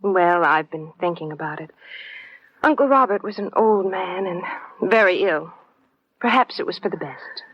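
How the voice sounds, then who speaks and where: Poised heiress on old radio. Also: female voice, lowish voice, lively intonation, normal speed, slightly rough, no echo. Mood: cool, poised. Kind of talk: 1940s-50s radio drama acting. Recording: muffled old radio recording.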